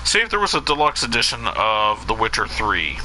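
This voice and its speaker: Lowish-voiced guy streaming a game, rambly and scatterbrained, lots of ums, noisy mic. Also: very animated, brisk, pushed and bright. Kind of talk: casual let's play commentary.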